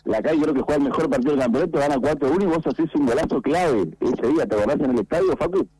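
Argentine sports radio host, warm dark tone, dry studio mic, chatty and rambling. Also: male voice, fairly high pitch, fast, breathy edge, no echo, low-quality recording. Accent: Argentine Spanish. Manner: chatty, rambling questions with fillers.

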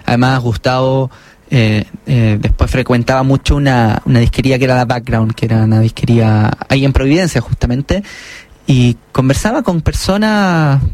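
A man sits in as a guest and talks over his book in a loose, conversational way. He stops and restarts often and fills the gaps with little hesitations. His voice sits at an ordinary middle pitch. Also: darkish tone. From Chile. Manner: halting, many restarts and fillers. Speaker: author guest. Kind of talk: casual interview conversation.

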